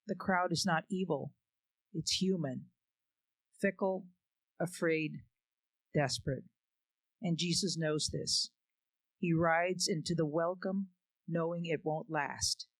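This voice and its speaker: A man's voice: on the high side, slow, pauses often, no echo, poor audio. A man giving an earnest, reflective scripted reading. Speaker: reader.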